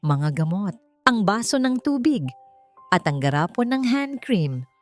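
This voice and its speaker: A woman with a Filipino accent reading a scripted story in a dramatic narrating style. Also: mid-range voice. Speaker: audiobook narrator. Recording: music behind the voice. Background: music.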